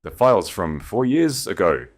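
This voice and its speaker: A man's voice: big pitch swings, normal speed. A man doing a ruthless growling duke giving orders. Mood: commanding. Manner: low dangerous growl, barking orders.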